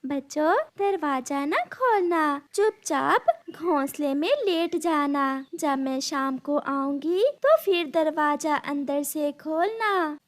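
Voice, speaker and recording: A woman voicing a timid, caring mother bird with an anxious, motherly manner in an animated children's story. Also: high voice, slow pace, hardly any pauses, pitched above the performer's own voice. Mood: motherly caution.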